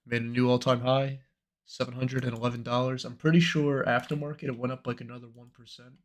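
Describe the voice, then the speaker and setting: A young man with a cold, slightly hoarse, chatting in a relaxed, rambling way as host of a trading livestream. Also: mid-range voice, brisk, slight background noise. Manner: relaxed, rambling, many fillers. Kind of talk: casual livestream chat.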